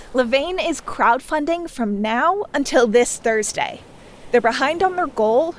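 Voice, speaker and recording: A woman producer giving a friendly, scripted promo narration as a podcast presenter. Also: fairly high pitch.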